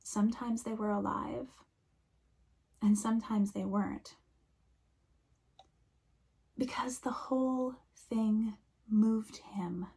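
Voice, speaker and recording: Woman minister preaching slow and hushed, husky gravelly voice, drops near a whisper. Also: a little high, fairly even intonation. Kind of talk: reflective sermon.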